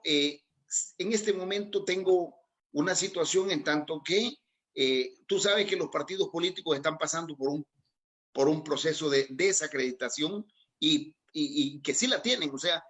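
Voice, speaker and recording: A middle-aged man with a Salvadoran accent and a fairly high-pitched voice. He answers as a guest in a political interview, haltingly, often doubling back on his words as he explains. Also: fairly bright tone. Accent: Salvadoran Spanish. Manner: hesitant, halting delivery with repeated words. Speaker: interview guest, aspiring legislative candidate.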